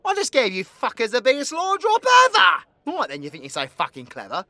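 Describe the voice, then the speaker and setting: A man's voice: very high voice, wildly swooping pitch, brisk, sharp tone, dry recording, full character voice. A British man playing a cocky, foul-mouthed, teasing supervillain in an audio roleplay, with crude slangy banter. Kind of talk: ASMR audio roleplay acting.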